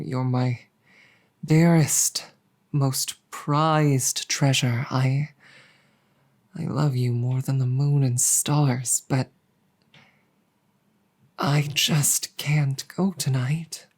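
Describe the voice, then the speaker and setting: A woman voicing an embarrassed, affectionate dragon girlfriend in a roleplay audio drama, speaking formally and apologetically with many halting stammers. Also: very deep voice, steady tone, a little mellow, heavily acted. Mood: flustered, tender.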